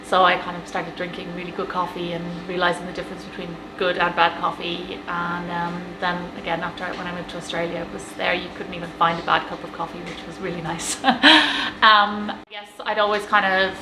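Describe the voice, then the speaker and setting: A woman's voice: lowish voice, flat delivery, a little fast. A woman in her thirties with a Galway Irish accent, a café owner chatting casually in an interview with lots of fillers. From Ireland. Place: inside her small café. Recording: echoey café room with background noise.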